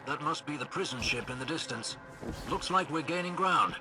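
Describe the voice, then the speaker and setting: An actor playing a dry, dutiful combat soldier in a video game, reporting briskly with a teasing edge. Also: male voice, a little high, animated, close to an everyday voice. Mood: dry, alert. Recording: noisy battle and vehicle ambience.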